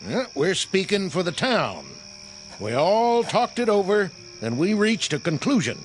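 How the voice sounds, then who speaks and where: A nervous committee spokesman from a small town. His voice is high and swings about, with a rural Southern accent and a self-important, halting manner, in a radio play. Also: sing-song, slight background noise, rough recording. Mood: uneasy, accusing. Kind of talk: radio drama acting.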